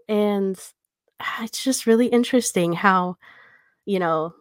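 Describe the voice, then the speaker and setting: Female podcast co-host, medium pitch, conversational and reflective, recorded dry with no room sound. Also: dry recording. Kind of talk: casual book discussion, personal reflection.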